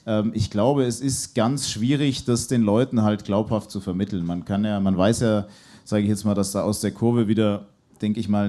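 A man's voice: lowish voice, mellow. A man speaking as a journalist guest on a live football panel, hesitant and full of fillers, into a handheld mic on a pub stage.

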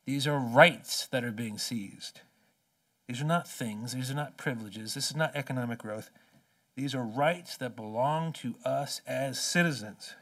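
A man in his forties giving an impassioned keynote speech at a podium, emphatic and rhetorical, with slow deliberate phrases. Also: mid-range voice, a bit bright. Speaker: keynote speaker. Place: large conference hall, at a podium. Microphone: podium microphone. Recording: distant conference hall sound.

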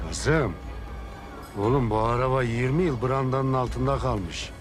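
An actor playing a quick-tempered, domineering middle-aged man who scolds and shouts in coarse slang. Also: medium pitch, natural voice. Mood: menacing. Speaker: Affan, a local strongman. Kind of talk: TV drama acting. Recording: TV drama with music score.

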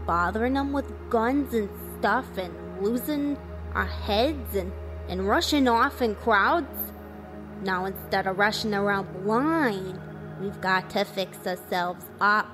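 Woman acting a slow-talking, cynical invasion survivor. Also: on the high side, music in the background. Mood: bleak, blunt. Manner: blunt, folksy, clipped phrasing. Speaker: actor in an amateur radio drama. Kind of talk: radio play dialogue.

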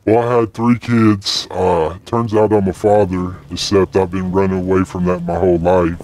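A man's voice: deep voice, level tone, normal speed, darkish tone, rough recording. A man in casual, crude, playful banter while gaming online, full of slang. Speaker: gaming video creator. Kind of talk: casual joking banter during online game. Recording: faint noise, game sounds.

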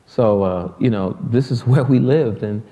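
A man's voice: mid-range voice, unhurried, dark tone. A middle-aged man from Detroit, a guest musician telling stories in a loose, joking, profane way during an on-stage interview in front of an audience. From Detroit, USA. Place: hall with an audience. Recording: long hall reverb.

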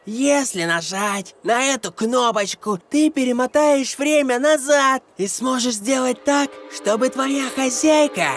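A woman voicing a sly, mysterious young boy backpack creature in a children's cartoon, deliberate and conspiratorial. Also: high-pitched, no echo, soft background music. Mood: proud, explaining. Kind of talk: animated children's cartoon acting.

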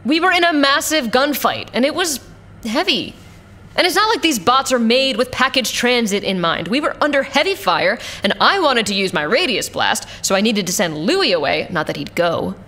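An actress playing a tough, exasperated war-robot pilot in an audio drama, assertive and expressive. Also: female voice, on the high side, moderate pace, heavily acted. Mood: weary recounting.

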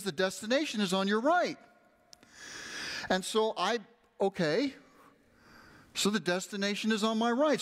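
A middle-aged man, a pastor, telling a story in a lively, conversational sermon while moving around a large church stage. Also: high voice, in a large hall. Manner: animated, conversational, gestures while walking the stage.

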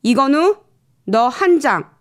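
A stern female schoolteacher, acted by a woman storyteller, scolds reproachfully. The voice is fairly high and lively in pitch, in a storybook reading style. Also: takes it slowly, exaggerated voice. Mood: scolding.

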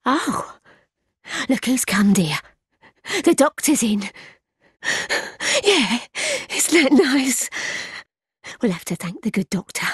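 A woman's voice: on the high side, sing-song, slow, long pauses, husky, dry recording, studio quality, heavily acted. An actress playing a bitter, suspicious, half-mad old townswoman in a gothic video game, with a British accent and a scornful, muttering manner. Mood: crazed, cooing. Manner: scornful, muttering and scolding by turns.

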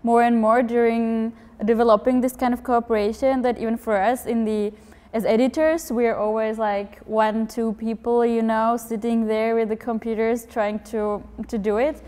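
A woman's voice: fairly high pitch, level tone, moderate pace, darkish tone, clear, resonant tone, in a large hall. A young woman, a magazine editor on a panel, talking eagerly with hesitant starts. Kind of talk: panel discussion. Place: room with curtains, seated on sofa.

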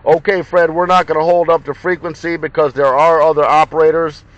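A man's voice: on the high side, flat delivery, a little fast, no echo, highs cut off. American man on a dry car radio mic. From Ohio, USA. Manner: crisp radio procedure, spelled call signs. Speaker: CB radio operator. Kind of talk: radio contact exchange. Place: inside a car. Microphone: handheld radio mic.

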